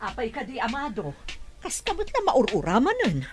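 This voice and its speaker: A woman's voice: fairly high pitch, wildly swooping pitch, normal speed, soft background music. A woman playing an anxious, caring middle-aged mother in an audio drama.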